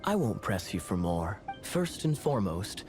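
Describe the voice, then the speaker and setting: A man playing a suave young cavalry captain in a video game, teasing and measured, with music behind him. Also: on the low side, big pitch swings. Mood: suave, courteous.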